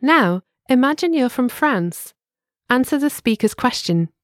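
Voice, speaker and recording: A woman narrating a scripted language lesson, giving clear, measured instructions. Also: high voice, lively intonation, no room sound, clean studio sound.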